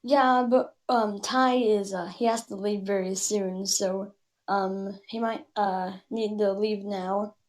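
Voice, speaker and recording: A woman's voice: mid-range voice, steady tone, slow pace. A woman giving a hesitant, casual explanation as a guest.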